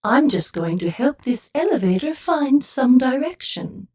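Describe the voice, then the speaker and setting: A woman playing an unhurried, patient, helpful traveler in a comic sci-fi audio drama. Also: high-pitched, very animated, a little mellow, heavily acted.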